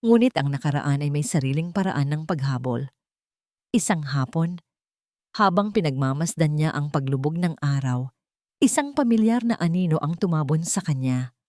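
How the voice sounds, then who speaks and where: A woman's voice: low-pitched, expressive, moderate pace, dark tone, no room sound, clean studio sound. A woman with a Filipino accent reading a scripted romance story as a dramatic narrator.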